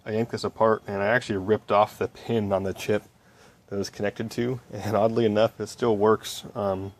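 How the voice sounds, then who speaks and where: A man's voice: lowish voice, little pitch movement, normal speed. A man giving a casual, informal update on a hands-on project, explaining things step by step as he works. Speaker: electronics hobbyist.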